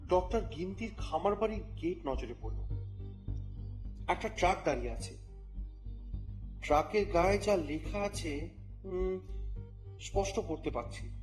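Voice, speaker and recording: Audio story lead: wry, exasperated reporter telling his detective tale, high-pitched male, music bed behind. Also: a little mellow. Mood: puzzled, suspenseful. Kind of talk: dramatized first-person story narration.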